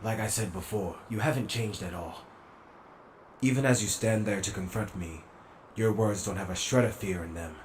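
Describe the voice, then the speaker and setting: A man voices a solemn anime warrior captain in a low register. His delivery is grave, formal and measured, like a philosopher in arms. Faint noise sits behind him. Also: close to an everyday voice. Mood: stern, reproachful. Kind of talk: anime fan dub acting.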